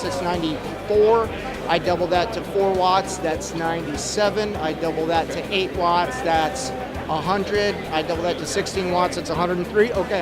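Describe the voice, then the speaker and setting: A middle-aged man giving a casual, step-by-step technical explanation as an expert guest in an interview. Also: high voice. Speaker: expert guest, audio trainer. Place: busy trade show floor. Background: music.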